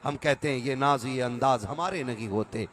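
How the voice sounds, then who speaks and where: A man preaches an Islamic sermon to a live gathering. His voice sits at a middle pitch. He talks conversationally, slips into devotional verse and urges his listeners to respond. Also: normal speed, fairly bright tone.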